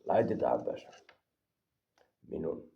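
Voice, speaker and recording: A man from Finland with a Finnish accent, rambling deadpan through an absurd comedic product review and mixing languages. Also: takes it slowly, narrow, tinny audio. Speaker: vlogger reviewing an energy drink.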